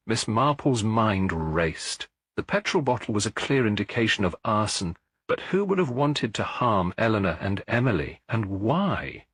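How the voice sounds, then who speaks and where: Low, husky male mystery narrator. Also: a bit bright, dry recording, clean studio sound. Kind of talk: scripted mystery audiobook narration.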